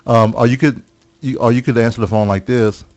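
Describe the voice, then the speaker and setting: A man in his thirties with a Kentucky accent chats on a podcast, joking and rambling. His voice sits at a middling pitch for a man. He talks in a loose, playful way. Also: fairly even intonation, brisk, darkish tone. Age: 30s. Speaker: podcast co-host. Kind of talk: casual chat.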